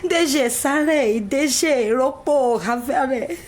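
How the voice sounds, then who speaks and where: A woman's voice: sits high, drawn-out words, background noise. An actress playing a grief-stricken young village girl, crying in distress, in a radio drama. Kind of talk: emotional radio drama acting.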